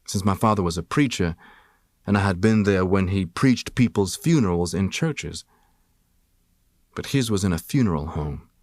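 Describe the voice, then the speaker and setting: A man's voice: lowish voice, no echo, studio quality. A man reading a first-person memoir as scripted audiobook narration. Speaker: audiobook reader.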